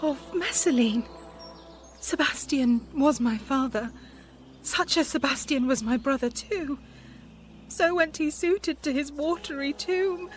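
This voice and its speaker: A woman's voice: sits high, unhurried, soft background music. An actress playing a devoted young woman disguised as a boy in a radio Shakespeare drama, speaking verse earnestly and carefully. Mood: stunned wonder. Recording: faint background ambience.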